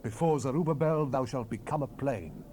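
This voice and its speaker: A man's voice: medium pitch, moderate pace, dark tone, lightly acted. A man voicing the Lord God as a stern, majestic deity in solemn, emphatic declamation for a dramatized scripture recording. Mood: commanding. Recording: hissy 1970s cassette rip.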